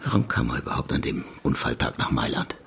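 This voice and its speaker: An actor playing a dogged police detective in a radio crime drama, speaking in a measured, formal, probing way. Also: male voice, a bass voice, very animated, gravelly, fairly bright tone, slight background noise, heavily acted. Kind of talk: radio crime drama dialogue and first-person narration. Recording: music under the voice.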